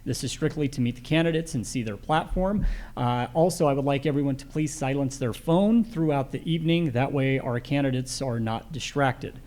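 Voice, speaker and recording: A man's voice: medium pitch, moderate pace, darkish tone. A man acting as moderator, formally setting out the rules of a candidate forum.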